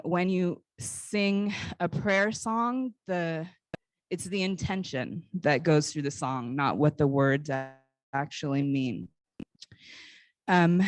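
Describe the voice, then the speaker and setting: Slow-paced American woman speaker in her forties. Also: fairly low pitch, no echo. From Northern California, United States. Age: 40s. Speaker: guest speaker, basket weaver and cultural educator. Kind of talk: informal public speech with personal storytelling. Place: live talk at a conference.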